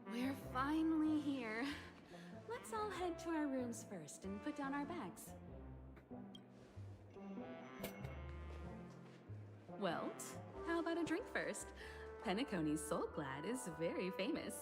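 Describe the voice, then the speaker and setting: A woman voicing a composed, intrigued adult traveller in a video game, speaking in a measured, knowing way. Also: sits high, very animated, music in the background. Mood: calm, inviting. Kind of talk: video game story dialogue acting.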